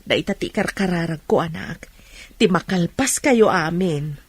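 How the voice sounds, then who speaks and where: Soft husky mom in a radio drama, slow and tender. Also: female voice, on the low side, dry recording. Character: gentle, devout middle-aged mother. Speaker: mother.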